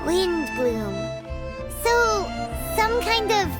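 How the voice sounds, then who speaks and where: A woman voicing a small, excitable floating girl sidekick in a video game. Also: very high voice, very slow, loud music behind the voice, full character voice. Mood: curious.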